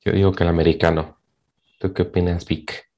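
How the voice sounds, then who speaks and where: Deep-voiced laid-back Mexican man. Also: level tone, brisk, mellow. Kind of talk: casual chat among friends.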